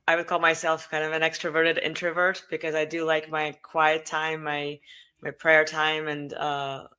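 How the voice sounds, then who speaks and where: A middle-aged woman from Russia with a Russian accent, talking as a guest in a casual interview, pausing often with fillers. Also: sits low, monotone, pushed and bright, clear, resonant tone. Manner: hesitant, many fillers.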